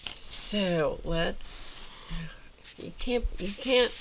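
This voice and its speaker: A woman's voice: medium pitch, extremely slow, background noise. A woman hosting a casual art livestream, a little congested and chatting aloud as she works in her studio. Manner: chatty, thinking aloud while working. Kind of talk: casual craft livestream chat.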